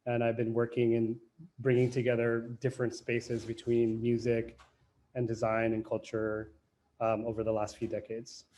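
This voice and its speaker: A man, a graphic designer, introducing himself on a video call in a measured, slightly halting way. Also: medium pitch, monotone, mellow. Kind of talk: self-introduction.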